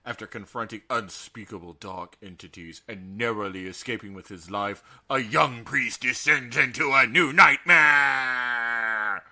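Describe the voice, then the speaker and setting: A man's voice: medium pitch, a little slow, sharp tone. A man co-hosting a podcast, chatting casually through horror news in a theatrical, jokey and emphatic way. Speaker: podcast co-host.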